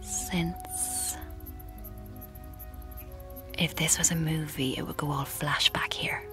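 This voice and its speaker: An actress playing a dreamy, self-deluded young Irish woman narrating her own story in a breathy, confiding, languid way for radio drama, with music underneath. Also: low-pitched, steady tone, takes it slowly, in a whisper. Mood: dreamy, self-satisfied. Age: young adult. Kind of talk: intimate first-person radio drama narration.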